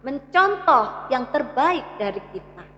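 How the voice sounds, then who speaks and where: A woman's voice: sits high, very animated, a little slow, bright, forceful tone. A woman with an East Javanese Indonesian accent giving a religious parenting talk, teaching emphatically and addressing parents directly with rhetorical questions. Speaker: Islamic parenting speaker. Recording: echoey hall, slightly muffled sound.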